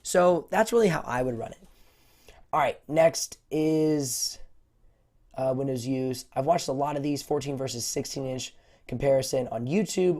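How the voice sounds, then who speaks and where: A man with a voice hoarse from a cold, answering viewer questions on a casual livestream, rambling with lots of fillers. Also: medium pitch. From United States. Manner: rambling, many fillers and restarts. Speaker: tech YouTuber hosting a livestream Q&A.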